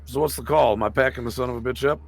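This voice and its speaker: A man's voice: medium pitch, racing, slight background noise. A man casually bantering as a tabletop game player, with a profane, opinionated, rambling manner.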